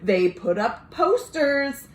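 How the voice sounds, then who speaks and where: Expressive, slow-paced woman reading storytime. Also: high voice. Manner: expressive, addressing young listeners directly. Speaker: librarian storyteller for children. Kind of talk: animated storytime read-aloud with simple explanations. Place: indoors at home. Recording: roomy, echoey home recording.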